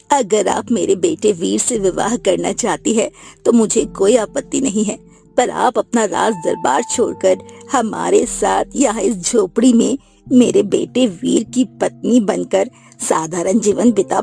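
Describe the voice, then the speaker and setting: A humble old village mother from a cartoon, voiced by a woman, speaks earnestly. Her voice is extremely gravelly and dark, with faint music behind. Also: medium pitch, a little slow, full character voice. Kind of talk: cartoon dubbing.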